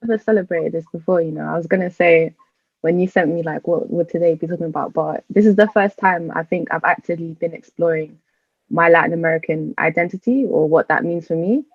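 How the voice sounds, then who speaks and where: Young female guest, British accent, lowish voice, conversational, dry and slightly muffled call audio. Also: a little fast, no echo. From born in Venezuela, in the UK from age three. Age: young adult. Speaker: podcast guest. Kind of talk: casual introduction and discussion. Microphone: remote video call.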